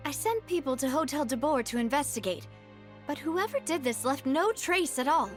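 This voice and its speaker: Spirited young female boss for a video game: high-pitched, animated, gracious, assured. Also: moderate pace, soft background music. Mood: thoughtful. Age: young adult. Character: young lady boss of a syndicate, warm, confident, spirited.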